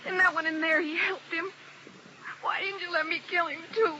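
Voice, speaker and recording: A woman playing a distraught, vengeful thirtyish woman, shrill and overwrought, in old radio melodrama. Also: steady tone, moderate pace. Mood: hysterical, bitter. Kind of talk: 1950s radio melodrama acting. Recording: hissy old radio recording.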